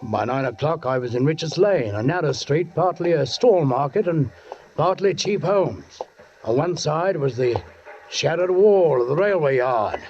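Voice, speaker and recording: Watchful police sergeant, suspense radio narrator, higher register, dull vintage sound. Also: male voice, a little mellow, slight background noise. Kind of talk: 1940s radio acting, first-person narration. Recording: dull 1940s radio recording, music behind.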